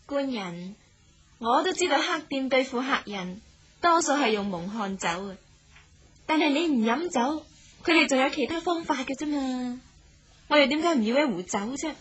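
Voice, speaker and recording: A woman playing a shrewd, confident young traveller in a radio drama, brisk and assertive, with a husky edge and a Hong Kong Cantonese accent. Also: sits high, expressive, lo-fi sound. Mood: coaxing.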